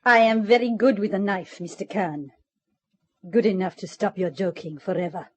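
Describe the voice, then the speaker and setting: An actress playing a cold, formal, threatening knife-wielding villain in a 1950s radio crime drama. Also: female voice, mid-range voice, no room sound, lightly acted. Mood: cold menace. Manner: formal, deliberate, menacing.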